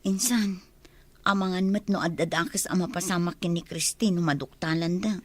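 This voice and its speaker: An actress playing a concerned, cautioning cousin and confidante in an Ilocano radio drama. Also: female voice, on the low side, moderate pace, lightly acted. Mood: worried, hushed.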